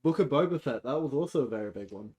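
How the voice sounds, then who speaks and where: A man's voice: medium pitch, lively intonation, fast talker, a little mellow. A man co-hosting a podcast in casual banter, with loose, slangy phrasing. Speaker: podcast co-host.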